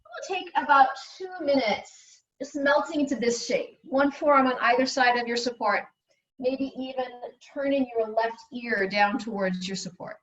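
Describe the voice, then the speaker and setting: A woman yoga instructor leading slow guided breathing and meditation in soothing, unhurried short phrases with long pauses. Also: sits high, a bit bright. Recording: dull, echoey room, distant mic.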